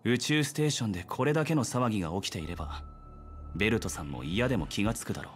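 An actor playing a stoic, serious young guard in a Japanese anime game, cool and matter-of-fact. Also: male voice, on the low side, lively intonation, quick pace, faint music behind, natural voice.

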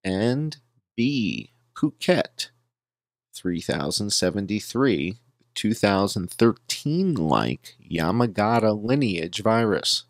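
A man reading a written document aloud as narrator, deliberately, in short, emphatic, measured phrases. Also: lowish voice, big pitch swings, no echo, studio quality.

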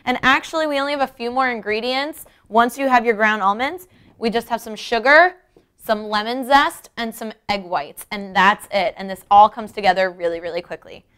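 A woman's voice: on the high side, normal speed, a bit bright, clear, resonant tone. A young woman presenting a cooking lesson in a conversational way, explaining each step directly to the viewer. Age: young adult. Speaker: cooking show host. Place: home-style kitchen, indoors.

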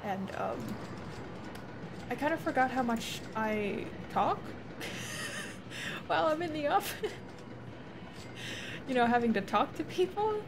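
A woman talks casually while streaming a game, her voice a touch high and slightly hoarse. She laughs often and swears freely. Steady background noise runs under the home mic recording. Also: slow, long pauses. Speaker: livestreamer. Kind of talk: casual gameplay chat.

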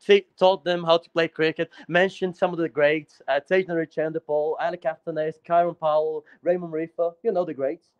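A man's voice: a little high, level tone, brisk, clean tone, dull audio. A Brazilian man, a Portuguese speaker, calling in over a video call and telling a playful story in casual chat. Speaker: caller.

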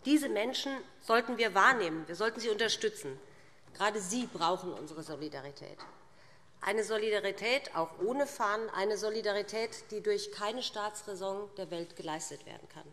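A middle-aged woman giving a formal, prepared political speech with deliberate, emphatic phrasing, speaking at a lectern microphone as a parliamentarian. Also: medium pitch, fairly bright tone. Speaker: parliamentary speaker for a party group. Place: large indoor parliament chamber. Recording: reverberant large hall.

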